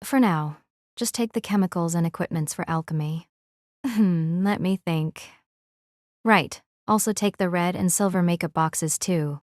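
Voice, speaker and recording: A woman playing a gentle, composed noble lady in an audio drama, speaking politely and reassuringly. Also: on the low side, a little slow, no echo, studio quality, natural voice. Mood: gently instructing.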